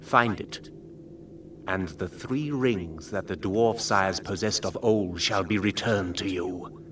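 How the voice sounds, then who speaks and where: Heard from a distance, a sinister envoy of darkness in a radio fantasy. The voice is very low and drawn out, coaxing with slow menace. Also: male voice. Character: sinister dark messenger of an evil lord, smooth menace. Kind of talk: radio drama acting. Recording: distant, noisy atmospheric effects.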